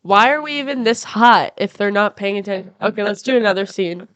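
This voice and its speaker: A woman improvises comedy scenes on a podcast with brash, crude humor. Her pitch sits in the middle range. The room sound is dry. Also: a bit bright, smooth, no echo. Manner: brash, crude, quick jokes. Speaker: podcast guest. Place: house.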